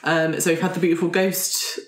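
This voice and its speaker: A woman's voice: on the low side, flat delivery, fairly quick, a little hiss or hum. Chatty woman player in an echoey room. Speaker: player in a roleplaying game. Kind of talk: casual improvised storytelling among friends. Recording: roomy, echoey recording.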